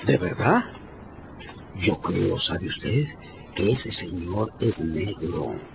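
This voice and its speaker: A man's voice: low-pitched, lively intonation, very slow, gravelly, noisy background, heavily acted. An actor playing a blunt, gossipy village deliveryman in his sixties, with a folksy, emphatic manner in a radio drama. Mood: conspiratorial. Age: 60s. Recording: muffled radio drama, loud music underneath.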